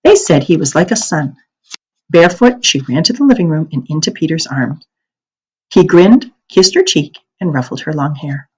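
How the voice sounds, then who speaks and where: A woman reading a children's novel aloud as an expressive narrator. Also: sits low, moderate pace, slightly rough, rough recording.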